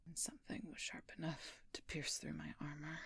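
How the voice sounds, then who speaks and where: A woman playing a wounded, dutiful werewolf knight in an intimate audio roleplay, halting and weary, in a breathy, husky, gravelly whisper. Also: low voice, little pitch movement, fairly bright tone, no room sound, clean studio sound, full character voice. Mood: pained, weary. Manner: halting, weary, pained delivery. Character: wounded, dutiful female werewolf knight, wryly self-sacrificing protector.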